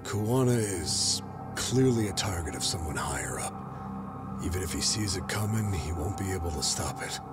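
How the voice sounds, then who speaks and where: An actor playing a jaded middle-aged police detective in a video game dub, blunt, sardonic and weary. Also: male voice, low voice, lively intonation, normal speed, over loud music. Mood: grim, resigned.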